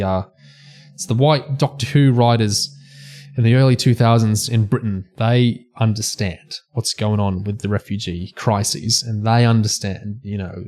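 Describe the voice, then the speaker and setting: A man co-hosting a podcast discussion, speaking haltingly, phrase by phrase. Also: on the low side, warm tone. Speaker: podcast co-host.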